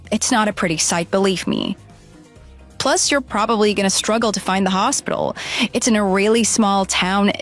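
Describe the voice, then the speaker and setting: An actress playing a deceitful runaway bride in an audio drama, pleading, flustered and defensive. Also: female voice, mid-range voice, lightly acted. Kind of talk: dramatized phone dialogue.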